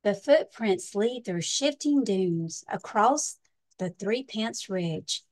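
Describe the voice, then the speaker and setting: A woman librarian reading a children's storybook aloud with expressive, deliberate, emphatic narration. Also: medium pitch, a little hiss or hum.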